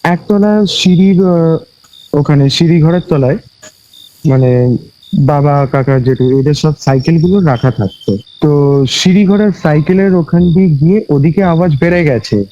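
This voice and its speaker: A man tells a personal story conversationally, haltingly, often repeating his phrases. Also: on the high side, dark tone, highs cut off. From West Bengal, India. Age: 20s. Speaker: guest recounting a personal ghost experience. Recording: music bed with cricket and insect sounds.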